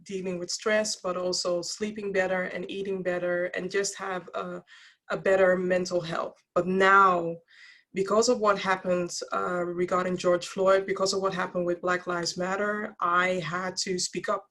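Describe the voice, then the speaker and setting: A woman's voice: lowish voice, flat delivery, normal speed, fairly bright tone. A woman in her thirties whose first language is Dutch, speaking earnestly about her experiences as a guest on a video call interview, often restarting her sentences. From Netherlands.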